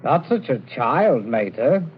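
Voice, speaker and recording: A man's voice: medium pitch, sing-song, slow, background noise. An actor playing a flippant, callous young man who mocks his family in a 1940s radio drama. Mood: mocking. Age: young adult. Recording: dull old radio recording.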